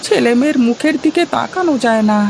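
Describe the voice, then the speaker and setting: Woman voicing a high-pitched, worried village father. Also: animated, fast talker, gravelly, darkish tone, a little hiss or hum. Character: worried, poor village father and duck keeper. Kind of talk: cartoon story dialogue. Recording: music behind the voice.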